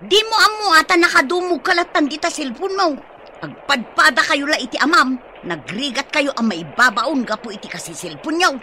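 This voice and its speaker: A bright, high-pitched Ilocano mother from a radio comedy-drama. She is a worried village wife who scolds and nags in exasperation, with an Ilocano accent. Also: animated, moderate pace, a little hiss or hum. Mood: scolding.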